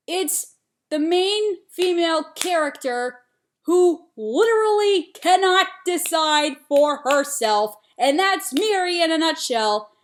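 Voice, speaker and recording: Young woman rambling thru a book review, kinda high voice, lots of ums. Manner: chatty, frequent ums, rising exasperation. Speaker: book vlogger.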